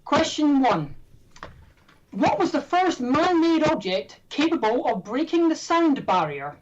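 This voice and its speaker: A woman hosting a trivia quiz, reading questions in a brisk, playful, self-mocking way. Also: sits high, sing-song, lo-fi sound. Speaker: quiz host.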